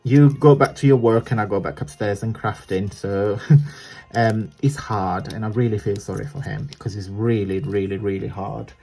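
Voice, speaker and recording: A man's voice: fairly low pitch, fairly even intonation, normal speed, gravelly, dark tone, faint music behind. A man with an Italian accent, chatting casually while showing a crafting tutorial, rambling and correcting himself as he goes. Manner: chatty, rambling, self-correcting, laughs often. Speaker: craft vlogger.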